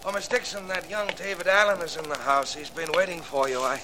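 An actor playing an older, loyal family gardener with a folksy rustic way of talking, in 1940s radio drama style, on an old broadcast with faint hiss. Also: male voice, fairly high pitch, steady tone, brisk, lightly acted. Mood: easygoing.

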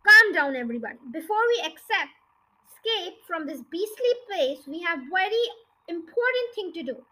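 A clever father monkey leads his little band in a storybook reading, voiced by a woman. The voice sits very high and sounds bright, calm and commanding. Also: normal speed, full character voice, low-quality recording.

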